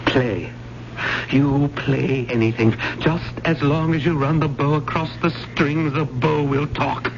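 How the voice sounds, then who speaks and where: Unhinged, obsessive murderous professor: highish, insistent radio melodrama, muffled and noisy old recording. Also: male voice, steady tone, slow. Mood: manic, commanding. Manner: theatrical, insistent, repeating himself. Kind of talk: old-time radio drama acting.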